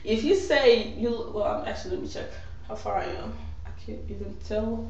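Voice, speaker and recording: A woman's voice: fairly high pitch, moderate pace, creaky. Sarcastic young woman vlogging in an echoey room. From West Africa. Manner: sarcastic, animated, lots of "like". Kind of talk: casual to-camera rant. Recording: very echoey, distant, dull lo-fi sound.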